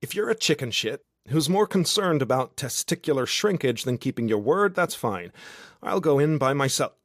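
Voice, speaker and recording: A man voicing an excitable young American male tourist in an audiobook, exuberant and emphatic. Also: medium pitch, moderate pace, dry recording, clean studio sound, pitched above the performer's own voice, lightly acted. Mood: goading. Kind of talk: audiobook character dialogue.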